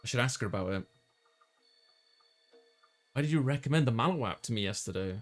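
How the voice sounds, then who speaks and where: A man's voice: medium pitch, animated, fairly quick. A man reading a visual novel aloud as a let's player, narrating and chatting casually, with game music behind him.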